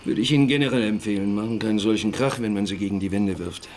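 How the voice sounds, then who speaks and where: A man's voice: on the low side, quick pace, darkish tone, a little hiss or hum, close to an everyday voice. An actor playing an easygoing but easily irritated young husband and father in a naturalistic radio drama, teasing and sometimes defensive. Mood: relaxed.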